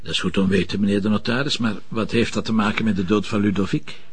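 An actor playing a gruff, stubborn police commissioner in a TV drama, with a Flemish Belgian accent and a gravelly voice. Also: male voice, lowish voice, fairly even intonation, fast talker. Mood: probing, calm. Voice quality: gravelly, husky. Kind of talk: naturalistic TV drama acting.

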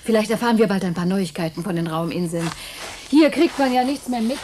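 A woman's voice: medium pitch, fairly quick, darkish tone, slight background noise, close to an everyday voice. A woman playing an anxious, sensible middle-aged retiree in a science fiction radio play, fretful and exclamatory. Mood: expectant. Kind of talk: German radio play acting. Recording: music playing behind.

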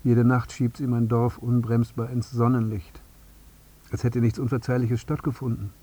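Man acting husky bereaved father, broken, obsessive, short deliberate phrases, radio drama monologue. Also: mid-range voice, flat delivery, dark tone, close to an everyday voice. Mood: numb grief.

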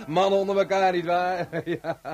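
An actor playing a chummy, unctuous radio reporter doing mock live commentary in a radio drama. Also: male voice, high voice, heavily acted. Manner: cheerfully unctuous, chatty. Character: smarmy, chummy male radio reporter at a grim spectacle.

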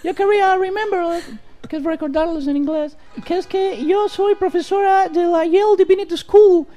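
A woman's voice: very high voice, moderate pace, dark tone. A woman playing a boastful, flirty American nun who speaks Spanish with an American accent and keeps slipping into English. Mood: giddy. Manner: giggly, mixes English into Spanish, rambling. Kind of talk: improvised comic radio sketch.

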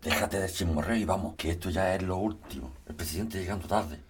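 A woman plays a nagging, impatient neighbour in an audio drama. Her voice is extremely low and hurried, with faint noise behind it.

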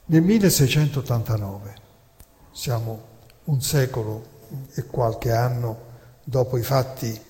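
A man delivers a theatrical historical monologue in a deliberate, emphatic, rhetorical way with dramatic pauses. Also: mid-range voice, a little mellow. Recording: long hall reverb.

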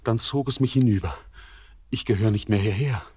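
An actor playing a tormented, brooding young man in a poetic radio drama, speaking in a halting, solemn and intense theatrical way. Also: fairly low pitch, a little mellow, slightly rough. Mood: haunted, confessing.

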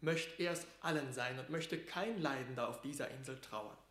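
An actor playing a courtly, deferential envoy, speaking ceremoniously in classical radio-drama verse. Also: male voice, medium pitch, normal speed, a bit bright, echoey room, close to an everyday voice. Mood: courtly, hopeful. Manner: formal, ceremonious.

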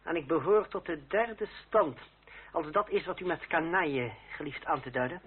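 An actor playing a proud, bitter young fencing master, speaking with formal, cool irony in a period radio drama. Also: male voice, a little high, normal speed, natural voice. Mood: sardonic. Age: young adult. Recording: tinny, noisy old radio play recording.

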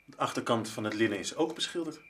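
An actor in a radio drama playing an earnest, easily disheartened male researcher, hesitant and excitable. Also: medium pitch, fast, a little hiss or hum, natural voice. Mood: intrigued, tense. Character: earnest, disheartened male researcher-writer chasing an art discovery.

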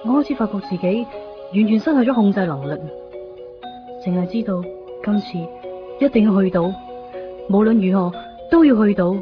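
A female actor plays a reserved but determined young woman in radio drama dialogue and monologue. Her pitch is medium and her manner guarded, in a dullish recording with music clearly behind. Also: lively intonation, fairly quick, long pauses, lightly acted. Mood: resolute, wistful. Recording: muffled 1990s radio drama under music.

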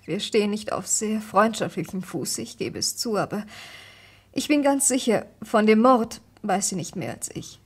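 A woman's voice: medium pitch, lively intonation, moderate pace, husky. An actress playing a distressed, anxious young woman who speaks earnestly and fragilely in a vintage German radio crime drama. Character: distressed, anxious young niece defending her cousin.

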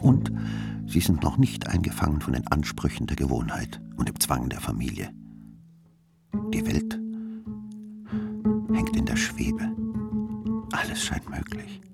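A man's voice: deep voice, wildly swooping pitch, a little slow, vocal fry, long pauses. A man narrating a radio play poetically, dreamy and drifting toward whispers. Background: prominent plucked guitar music.

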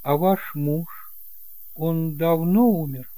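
Man playing a soft, hesitant young heir. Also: a little high, slow pace, no echo, pitched above the performer's own voice. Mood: shy, touched. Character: shy, polite young male heir. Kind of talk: audiobook drama acting.

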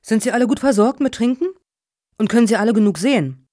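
An actress playing a polite, measured chairwoman of a selection panel in a satirical radio play, speaking courteously and formally. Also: a little high, expressive, mellow, no room sound, clean studio sound.

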